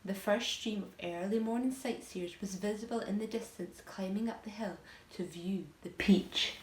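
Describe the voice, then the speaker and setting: A woman reading a children's story aloud like a teacher to pupils, in a dramatic, emphatic, expressive storytelling style. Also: mid-range voice, normal speed, reverberant, rough recording.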